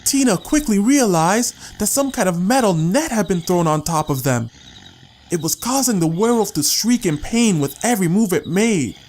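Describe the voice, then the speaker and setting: A man's voice: sits high, expressive, normal speed, darkish tone. A man narrating a story as a scripted, dramatic audiobook reading.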